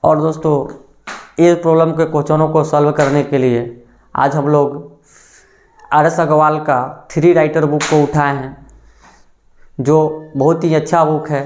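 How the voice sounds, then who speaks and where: A man's voice: on the high side, flat delivery, unhurried, long pauses, darkish tone, slight background noise. A man teaching a maths lesson for exam preparation. He calls his listeners friends, asks the class a question and then answers it himself, repeating the answer.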